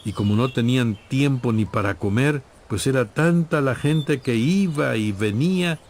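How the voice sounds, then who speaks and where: A man narrating a dramatized audio Bible from a script in solemn, clearly phrased storytelling. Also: medium pitch, moderate pace, slight background noise.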